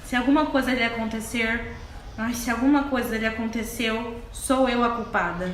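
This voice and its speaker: A woman's voice: a little high, steady tone, moderate pace, lightly acted. A woman playing a tearful, pleading, guilt-ridden lover in an amateur radio drama. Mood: anguished, guilty. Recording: echoey, quiet, faint background noise.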